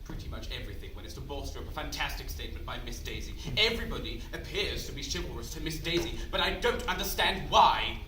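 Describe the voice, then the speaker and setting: A man acting a blustering, scoffing male politician on stage, pompous and quick to take offence. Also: mid-range voice, animated, sharp tone. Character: blustering skeptical male politician turning beastly. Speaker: stage actor. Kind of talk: live stage play. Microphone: far room mic. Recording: echoey, distant, noisy stage recording.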